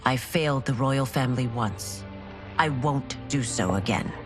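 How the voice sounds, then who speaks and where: A woman's voice: rumbling low, measured pace. A woman voicing a resolute female constable and former royal guard who speaks earnestly. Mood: determined. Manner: earnest, determined. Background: music.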